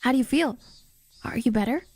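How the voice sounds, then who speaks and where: Woman voicing an earnest teenage boy, fairly high-pitched. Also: a little fast, a little mellow, husky, no echo. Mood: concerned.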